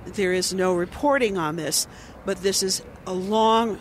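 A man's voice: high-pitched, a little slow. A man giving his political opinion outdoors on a city street, earnest and emphatic. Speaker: protester interviewed on the street. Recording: street noise behind the voice.

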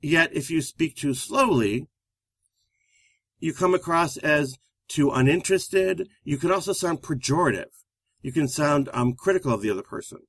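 A man with a loud voice who talks fast, co-hosting a live-streamed relationship talk show. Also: on the high side, husky, no room sound. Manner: fast-talking, energetic.

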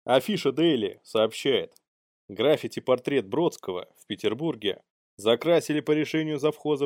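A man's voice: mid-range voice, darkish tone, no room sound, clean studio sound. A man co-hosting a comedy news show, mixing read-out items with playful, irreverent, slangy commentary. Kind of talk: news read-outs mixed with casual joking commentary.

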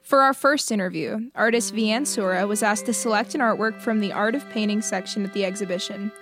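A woman's voice: mid-range voice, brisk, soft background music. Young woman reading a museum guide. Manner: even, read-aloud delivery. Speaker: student presenter. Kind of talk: scripted audio guide narration.

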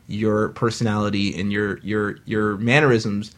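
A man guest on a talk radio show, animated and joking, leaning on "you know what I mean". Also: lowish voice, measured pace. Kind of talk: casual talk radio discussion.

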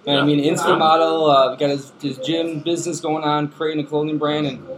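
A man co-hosting a podcast, chatting casually with quick, playful questions. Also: a little high, level tone, background noise. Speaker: podcast co-host. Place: busy bar. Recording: bar crowd chatter behind.

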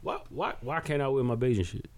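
A man's voice: medium pitch, animated, a little fast, a crowd in the background. A man from Barbados chatting casually on a podcast panel, rambling, repeating his phrases and using plenty of slang and profanity. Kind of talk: casual chat.

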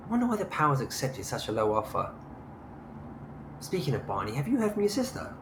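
A man's voice: mid-range voice, huge pitch swings, fairly quick. A man playing an anxious, hesitant, old-fashioned husband in a naturalistic audio drama. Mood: worried. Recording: echoey, clearly noisy ambience.

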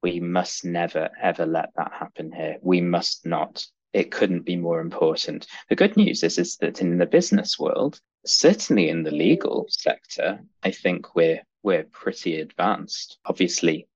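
A lawyer with a deep voice, speaking earnestly and confidently as an interview guest. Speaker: podcast guest, real estate lawyer. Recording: dull sound, echoey room.

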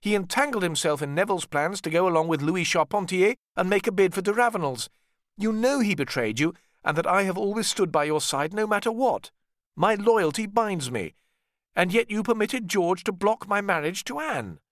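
A male narrator acts out an indignant younger brother of a rich family in an audiobook. His voice sits high and swings animatedly. He speaks emphatically, full of resentment, in a dry sound. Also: lively intonation, normal speed, no room sound. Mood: bitter, accusing. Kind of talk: audiobook character dialogue.

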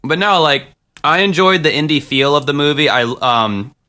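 An American man talks through a movie in loose, casual podcast chat, often restarting his sentences and trailing into fillers. His voice is bright and forward, with a clean, clear tone. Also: fairly high pitch, moderate pace. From United States. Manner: rambling, many restarts and fillers. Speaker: film podcast co-host. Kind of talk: casual film review chat.

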